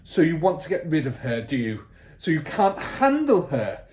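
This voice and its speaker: A man reads the part of a fierce middle-aged headmistress from a children's storybook. She barks her words loudly and scornfully, bullying whoever listens, with big swoops in pitch. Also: wildly swooping pitch, moderate pace, slight background noise. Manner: barking, shouting, snorting and scornful. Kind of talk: children's storybook character reading.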